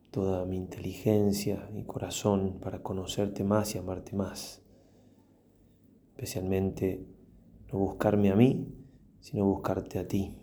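Deep, mellow priest guiding prayer. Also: male voice. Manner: slow, reverent, with long pauses. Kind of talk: guided religious meditation and prayer.

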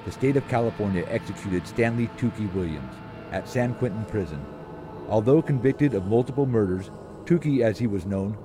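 Man narrating a feature over clear music. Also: on the low side. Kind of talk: scripted documentary narration. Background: music bed.

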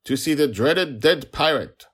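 A man's voice: a little high, expressive, unhurried, fairly bright tone, breathy edge, voice pushed up, heavily acted. A man voicing a pompous, easily angered pirate prison guard in a story, with formal, proclaiming delivery. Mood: shrill, insistent. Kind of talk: audio storytelling character voice.

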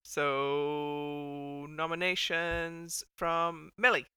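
A woman's voice: low voice, monotone, very slow, bright, forceful tone, clear, resonant tone, dry recording, studio quality. A woman hosting a casual panel chat as a podcast host, with a wry, irreverent way of talking.

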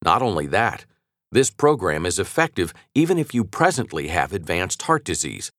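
A man with a low voice narrates nonfiction in an assured, articulate manner. His intonation moves with plenty of variety while the delivery stays measured. The recording is very dry, with a close studio sound. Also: fairly bright tone, no echo. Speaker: audiobook narrator. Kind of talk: scripted nonfiction audiobook narration.

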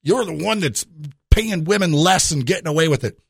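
A male radio host with a very animated, swooping delivery. He talks in a jokey, conversational monologue, speaking straight to the listener with rhetorical questions. The sound is close and dry. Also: fairly high pitch, normal speed, gravelly, slightly rough, dry recording.

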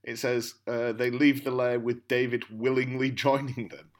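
A man's voice: mid-range voice, little pitch movement, normal speed, slight background noise. A man co-hosting a podcast, chatting casually and reading his part in an amateur script read-through. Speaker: podcast guest co-host.

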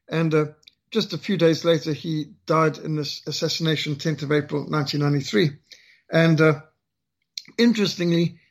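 Husky older man, Rhodesian accent. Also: on the high side. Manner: earnest, halting with frequent fillers. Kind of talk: personal storytelling talk.

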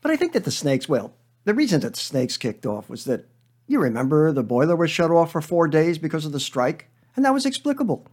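A man plays a weary male schoolteacher in a comic story monologue. The voice is fairly high and a bit husky, swinging animatedly in pitch. He digresses and justifies himself, hesitant yet deadpan. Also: brisk. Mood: defensive, explaining. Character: weary, rambling, defensive male schoolteacher named Edgar. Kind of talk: dramatic monologue, comic short story reading.

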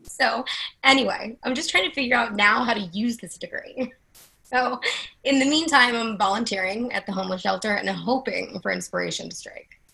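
An actress playing a friendly, oblivious young love interest in a play reading, conversational, with a creaky voice. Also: female voice, fairly high pitch, moderate pace, bright, forceful tone, rough recording. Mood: friendly, earnest.

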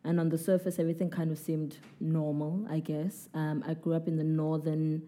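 A South African woman in her twenties, a guest in an interview, who answers in a relaxed, hesitant way with many fillers. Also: deep voice, normal speed. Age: 20s.

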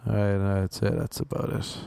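A young man with a Cork Irish accent, telling stories in a deadpan, self-mocking, rambling way. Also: fairly low pitch, moderate pace, gravelly, smooth, no echo. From Ireland. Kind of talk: casual storytelling monologue.

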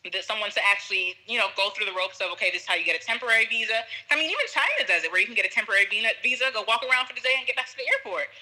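A woman guest telling a travel story in casual chat, animated, acting out what people said. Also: mid-range voice, rough recording. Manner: animated storyteller, acts out quotes, laughs often.

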